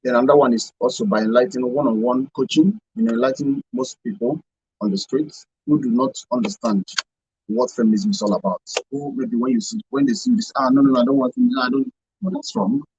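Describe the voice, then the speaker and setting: A man's voice: mid-range voice, very animated, fast, vocal fry, a little mellow, dull audio. A man with a Nigerian accent explaining points emphatically on a talk show, repeating himself for stress. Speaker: radio show panelist.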